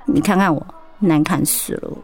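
An actress playing an outspoken, doting middle-aged wife in a radio drama, with a Taiwanese Mandarin accent. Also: female voice, sits low, normal speed, darkish tone, breathy edge. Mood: wistful.

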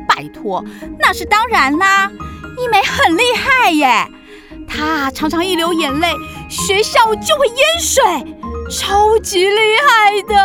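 Teasing, doting witch aunt for children's radio play: high, very animated, exuberant. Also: full character voice. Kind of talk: children's audio drama acting.

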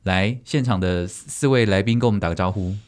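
A man with a Taiwanese Mandarin accent hosts in a fairly low voice. He is chatty and casual, slipping English words into his talk. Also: a little fast. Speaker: podcast host. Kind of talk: casual talk show hosting.

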